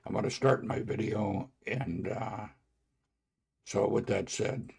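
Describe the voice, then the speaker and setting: Slow, gravelly, hoarse-voiced man. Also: on the low side, a little mellow. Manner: halting, with frequent filler pauses. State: hoarse from a sore throat. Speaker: podcast host. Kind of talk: casual faith testimony monologue.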